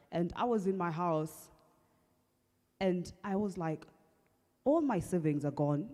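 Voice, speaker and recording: A young Kenyan woman with a husky voice, earnestly telling her personal story at an awareness event, with halting restarts. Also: fairly low pitch, warm tone. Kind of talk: personal testimony talk. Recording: long hall reverb.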